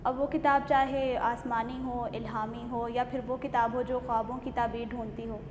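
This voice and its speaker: A Pakistani woman hosting a literary show, with formal, reflective and measured wording. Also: on the high side, little pitch movement, darkish tone. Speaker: host. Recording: echoey hall, audible background noise.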